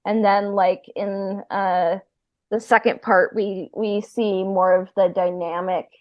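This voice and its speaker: A woman podcast host with a mid-pitched voice chats casually. She feels her way through sentences, leaning on "like" and "you know". The sound is a dull, lo-fi voice call. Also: level tone, slow pace. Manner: hesitant, frequent "like" and "you know". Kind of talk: casual chat.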